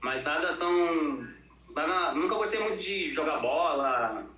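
A man's voice: fairly high pitch, animated, a little slow, pushed and bright, slight background noise. A Brazilian man from Bahia chatting casually as an interview guest, plain-spoken and colloquial. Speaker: interview guest, fitness professional. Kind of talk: casual chat. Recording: echoey room, dull lo-fi sound.

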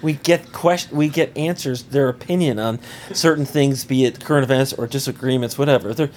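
Hoarse man teasing dryly on a podcast. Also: mid-range voice, a little fast, darkish tone, slight background noise. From Maryland. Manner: dry, teasing, stumbles over words. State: hoarse from a cold. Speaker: podcast co-host. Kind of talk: casual podcast banter.

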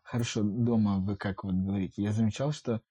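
A man's voice: on the low side, steady tone, fairly quick, mellow, husky. A man asking probing follow-up questions in a casual conversation. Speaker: interviewer.

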